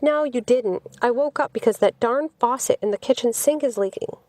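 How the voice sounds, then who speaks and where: Audiobook role: young woman read by a female narrator, lifted pitch, sleepy and questioning, close dry sound. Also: moderate pace, darkish tone, dry recording, lightly acted. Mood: puzzled, exasperated. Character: sleepy, exasperated young woman, sweetheart of a camper dweller.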